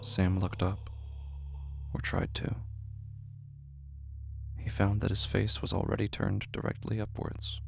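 A man narrating a horror audio drama in a slow, hushed storytelling manner. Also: on the low side, flat delivery, vocal fry, a little hiss or hum.

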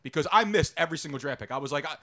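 A man, co-hosting a podcast in loud, animated casual chat, leaning close to the mic. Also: a little high, racing. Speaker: podcast co-host. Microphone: close studio mic.

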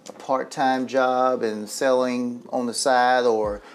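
A man's voice: medium pitch, flat delivery, slow pace, a little hiss or hum. A man hosting a local interview show, asking questions and giving many short acknowledgments. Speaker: show host. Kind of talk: interview host.

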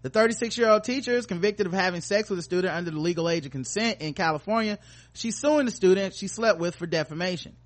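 A man's voice: high-pitched, quick pace. A man hosting a podcast in casual, joking banter with informal, profane language. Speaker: podcast host.

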